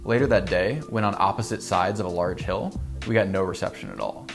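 Young guy doing a scripted how-it-works talk, steady and clear, music under it. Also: deep voice, fairly quick. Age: young adult. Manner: clear, even, informative delivery. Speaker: company presenter. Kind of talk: scripted product explainer.

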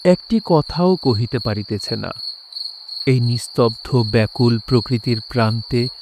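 A man narrating literary prose for an audio drama in a solemn, measured, dramatic way. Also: mid-range voice, a little mellow, slight background noise.

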